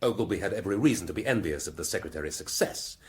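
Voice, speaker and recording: An actor playing a shrewd, commanding police inspector with an English accent, speaking deliberately and theatrically in a British radio drama. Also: male voice, low voice, lively intonation. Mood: dramatic, accusing.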